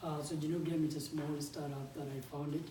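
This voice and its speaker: A man in his twenties with a South Sudanese accent gives an informal talk in a halting, conversational way, often repeating words. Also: a little high, level tone, warm tone. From South Sudanese, grew up in a refugee camp in Uganda. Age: 20s. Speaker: game developer and startup founder, guest speaker. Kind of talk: informal conference presentation. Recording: echoey room, distant mic, background noise.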